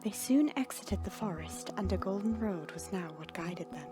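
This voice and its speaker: A woman narrates a fantasy story with solemn drama, her voice sitting at a middle pitch. Clear background music plays beneath her scripted reading. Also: lively intonation, moderate pace. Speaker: narrator reading fan fiction. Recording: music under the voice.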